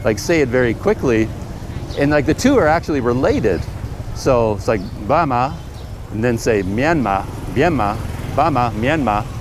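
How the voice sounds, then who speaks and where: A Canadian man talking casually to his camera in a busy outdoor market, thinking aloud with plenty of pauses and fillers. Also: medium pitch, very animated. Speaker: travel vlogger. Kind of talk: casual talk to camera while walking. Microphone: camera mic. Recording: street noise around the camera. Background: traffic and trucks passing.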